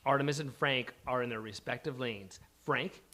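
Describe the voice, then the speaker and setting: A man's voice: mid-range voice, moderate pace, a bit bright. A man reading scripted stage directions as narrator of a live comedy table read.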